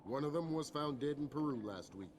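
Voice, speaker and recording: An actor playing a calm, authoritative intelligence chief who speaks in a measured, firm briefing tone. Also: male voice, a little high, slight background noise, lightly acted. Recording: game cutscene with music underneath.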